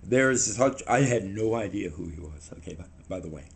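A man, telling a story to the camera in a rambling, halting way and sounding a little shaky, recorded in a small room on a camera in front of him. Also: low voice, vocal fry, slight background noise. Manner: rambling, halting, animated hand gestures. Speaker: vlogger.

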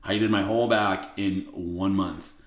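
A man with a raspy voice, a podcast guest talking bluntly and swearing freely in casual conversation. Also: sits low, steady tone, measured pace. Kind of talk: casual interview chat. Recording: roomy echo.

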